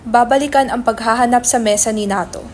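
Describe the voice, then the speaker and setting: Woman narrator with a fairly high voice. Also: fairly even intonation, brisk, a little mellow, a little hiss or hum. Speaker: narrator of stage directions. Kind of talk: scripted narration in a dramatic reading.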